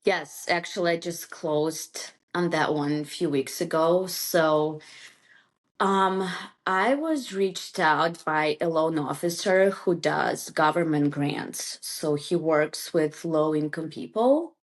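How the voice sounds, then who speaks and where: Slow, low-voiced woman, native Russian speaker. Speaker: real estate agent, interview guest. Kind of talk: casual interview chat.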